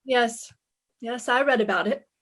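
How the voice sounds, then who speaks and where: A woman playing a high-voiced, wisecracking lady detective. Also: unhurried, fairly bright tone. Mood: brisk, playful. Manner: wisecracking, breezy. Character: witty, flirtatious, self-assured female private eye. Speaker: lead actress and first-person narrator. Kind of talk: 1950s radio detective play acting.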